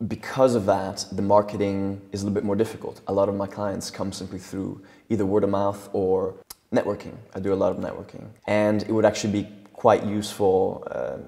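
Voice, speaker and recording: A young man whose first language is Italian talks through his work as a coach in a relaxed, thoughtful way. His voice is low and gravelly. It is caught on a lapel mic in a studio with a long echo. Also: a little fast. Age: young adult. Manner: thoughtful, gestures while talking, frequent restarts. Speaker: guest coach and trainer. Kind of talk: relaxed interview talk. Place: studio set with leather sofas and brick wall.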